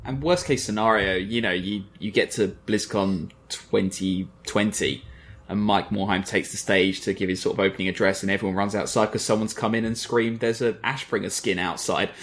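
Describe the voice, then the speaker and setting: A man's voice: fairly low pitch, moderate pace, a bit bright. A man hosting a podcast in a casual chatty style, thinking aloud and putting questions to his co-hosts. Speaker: podcast host. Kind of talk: casual chat.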